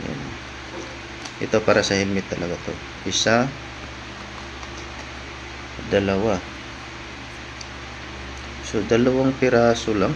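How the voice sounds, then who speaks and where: Male vlogger, lower register, informal stop-and-start product walkthrough, recorded with clear room noise. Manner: informal, halting, often tags phrases with "no?". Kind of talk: casual unboxing vlog narration.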